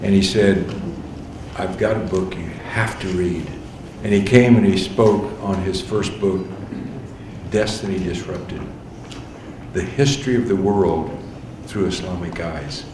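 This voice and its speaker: A man's voice: deep voice, fairly even intonation, measured pace. A man hosting an event, formally introducing the evening's speaker. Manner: formal, welcoming. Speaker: event host. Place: event hall. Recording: echoey hall, distant mic, noisy.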